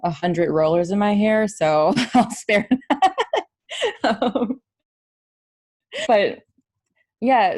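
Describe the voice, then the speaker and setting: A woman's voice: low voice, darkish tone, dry recording, studio quality. A woman speaking up as a participant on a video call, hesitant with many ums. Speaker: workshop participant. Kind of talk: casual remarks.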